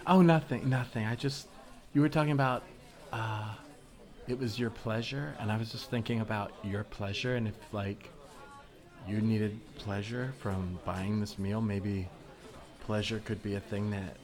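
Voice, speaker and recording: A man's voice: fairly low pitch, fairly even intonation, a little slow, crowd noise. A man playing a needy, over-eager young woman in improvised comedy, talking in a hesitant, rambling, awkward way. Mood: flustered, pleading.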